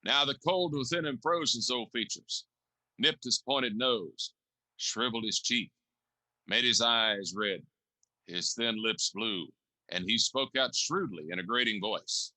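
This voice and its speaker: Bright-voiced male storyteller. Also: mid-range voice, a little slow, low-quality recording. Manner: vivid, relishing descriptive words. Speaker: narrator. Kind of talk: dramatic storytelling narration.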